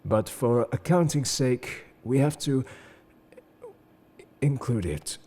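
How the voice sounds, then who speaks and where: A man playing a suave, enigmatic, quietly world-weary secret agent in an intimate, flirtatious audio roleplay, speaking to the listener in a coaxing, theatrical way. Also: mid-range voice, animated, slow. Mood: playful, coaxing. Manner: smooth-talking, coaxing, theatrical. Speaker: voice actor in fan audio roleplay.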